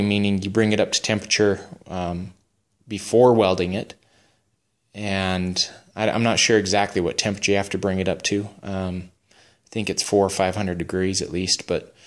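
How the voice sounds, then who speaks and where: Low-voiced man explaining a weld job. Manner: plain, unhurried, hesitant with many ums. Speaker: hobby welder. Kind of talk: casual how-to narration. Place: home garage workshop.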